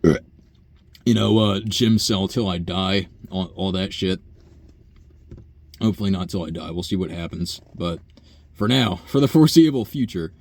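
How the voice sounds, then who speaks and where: A young man talking through a rambling, casual personal monologue, profane and full of fillers and sighs, with a low buzzy voice. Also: measured pace, pauses often. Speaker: vlogger.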